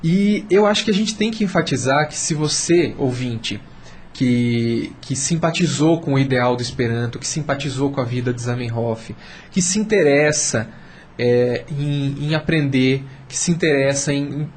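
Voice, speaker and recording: A man with a Brazilian accent speaking as a radio panel guest, explaining and urging emphatically, repeating phrases to build his point. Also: mid-range voice. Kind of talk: persuasive, explanatory discussion. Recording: clear background noise.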